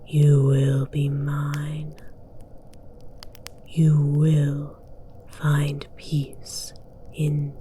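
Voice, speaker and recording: A woman voicing an imperious, seductive dragon queen in a slow ASMR roleplay, regal and commanding in a breathy, deliberate whisper. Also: deep voice, completely flat delivery, mellow, a little hiss or hum. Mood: possessive.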